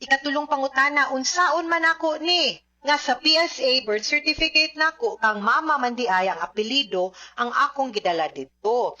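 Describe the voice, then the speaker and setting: Female advice host, Cebuano Filipino accent, sharp bright delivery, explanatory with English mixed in, dull lo-fi recording. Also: sits high, normal speed. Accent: Filipino, Cebuano Bisaya. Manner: explanatory, code-switches into English, frequent checking tags. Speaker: legal advice host answering listener questions. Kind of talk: spoken advice, reading and answering questions.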